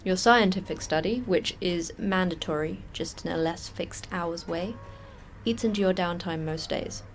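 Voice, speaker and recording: Low-voiced, wry woman station manager, acted. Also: normal speed, a little hiss or hum, close to an everyday voice. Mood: wry briefing. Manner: dry, matter-of-fact, sardonic. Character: jaded, terse woman station manager, wry old hand. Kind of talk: audio fiction podcast acting.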